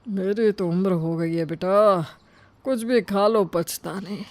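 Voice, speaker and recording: An elderly, easygoing grandfather acted by a woman from an animated family tale. He is mild and gentle and reasons with his family. The recording is dry. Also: medium pitch, slow pace, dry recording, close to an everyday voice.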